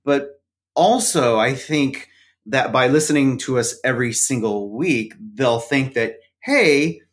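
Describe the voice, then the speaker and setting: A man's voice: mid-range voice, animated, slow. A man speaking as a podcast guest, explaining things at length in a wry, rambling way with many fillers. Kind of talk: casual interview chat.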